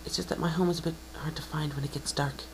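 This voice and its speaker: A timid, gentle pony girl in a storybook reading, voiced by a man. The voice is lifted high and kept quiet and breathy, with hesitant phrasing. A little noise sits behind it. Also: steady tone, quick pace, poor audio. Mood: timid, concerned. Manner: hesitant, soft-spoken, stammering. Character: shy, gentle young female pegasus pony.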